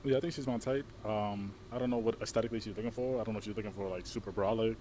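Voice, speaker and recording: A man's voice: on the low side, steady tone, warm tone, a little hiss or hum. A man from Brooklyn with a New York accent, a contestant on a dating show, talking hesitantly with many ums. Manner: rapid, hesitant, full of ums.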